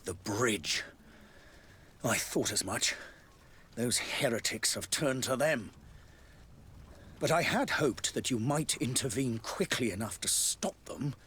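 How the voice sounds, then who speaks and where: An actor playing a grave, pious high clergyman in a video game, solemn and theatrical with a husky voice. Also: expressive, slow pace, pauses often, a bit bright, slight background noise. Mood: bitter, pious. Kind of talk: scripted video game cutscene acting.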